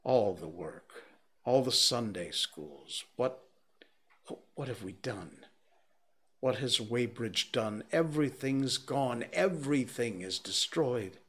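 A man doing a clergyman undone by terror, his husky voice driven high and loud. He pleads, wails and slips into doom-laden preaching, every question climbing toward despair. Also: expressive, a little slow. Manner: wailing, pleading, preaching in rising outbursts. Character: frantic, despairing male clergyman in shock.

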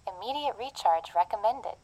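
A woman's voice: mid-range voice, lively intonation, moderate pace, dark tone, natural voice, low-quality recording. A woman voicing an impassive implant computer in an audio drama, giving clipped, impersonal alerts.

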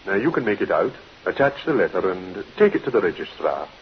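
A matter-of-fact doctor with a lowish, lively voice. He is businesslike and dryly reassuring, in old radio drama style. The recording has a faint hiss. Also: brisk, dull audio. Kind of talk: 1950s radio drama acting.

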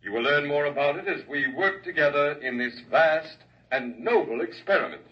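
An actor playing a solemn alien orator. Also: male voice, on the high side, animated, close to an everyday voice. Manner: formal, measured oratory. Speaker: alien speaker addressing a crowd. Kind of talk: 1950s radio drama acting. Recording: muffled 1950s radio broadcast, distant mic.

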